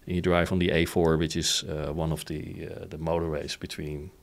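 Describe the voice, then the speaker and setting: A man with a very low voice, noticeably gravelly with fry. He explains things informally as an interview guest, in short bursts, often checking that the listener follows. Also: little pitch movement, moderate pace. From Netherlands. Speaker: interview guest, company founder. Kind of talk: casual explanatory interview chat.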